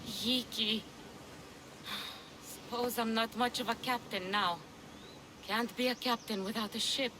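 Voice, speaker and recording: A woman's voice: a little high, steady tone, a little slow, pauses often, background noise, lightly acted. A woman acting a brash, foul-mouthed pirate captain. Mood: grieving. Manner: blunt, swearing, sardonic. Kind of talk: video game cutscene acting.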